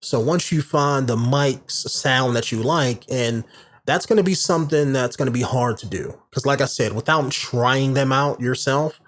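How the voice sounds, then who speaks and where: A man with a warm, medium-range voice hosts a podcast alone, talking casually into a studio condenser microphone. His delivery is loose and rambling, with plenty of fillers and restarted sentences. His pitch sits in the ordinary middle range for a man. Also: moderate pace. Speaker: podcast host. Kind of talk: casual explanatory monologue. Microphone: large studio condenser microphone.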